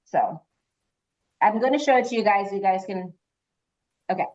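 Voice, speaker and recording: A woman's voice: mid-range voice, expressive, moderate pace, darkish tone. A woman hosting a quiz, reading questions aloud and moderating informally. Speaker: quiz host. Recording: dull lo-fi online call audio.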